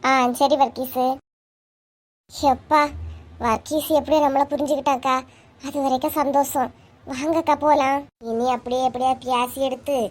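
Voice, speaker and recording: A woman's voice: sits high, steady tone, moderate pace, pauses often, darkish tone. A woman voicing an earnest, insistent village neighbour in a cartoon comedy, with a Kanyakumari Tamil accent. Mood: urging. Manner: insistent, explanatory, colloquial.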